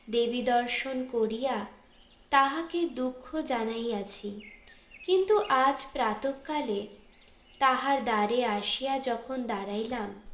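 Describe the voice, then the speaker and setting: A woman with a high voice narrates a literary audiobook. She reads very slowly and with measured drama. The room lends her voice a clear echo. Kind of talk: literary scripted story narration. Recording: roomy, echoing voice recording.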